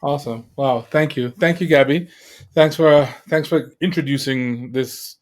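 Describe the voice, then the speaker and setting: A man's voice: mid-range voice, normal speed. Affirming male podcast host. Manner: supportive, many short affirmations, repeats words while thinking. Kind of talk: casual interview talk.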